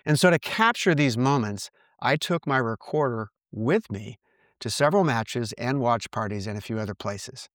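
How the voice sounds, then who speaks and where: A man in his sixties from the United States hosting his own podcast, reading reflective narration in an earnest, measured way. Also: mid-range voice, lively intonation, a bit bright, no echo, studio quality. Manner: earnest, measured, reads from a script. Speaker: podcast host.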